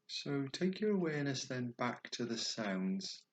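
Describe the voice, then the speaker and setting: A man guiding a meditation, speaking slowly and softly, at times dropping toward a whisper. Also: mid-range voice, very animated. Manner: slow, soft and soothing, trailing off toward a whisper. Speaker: meditation guide. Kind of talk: guided meditation.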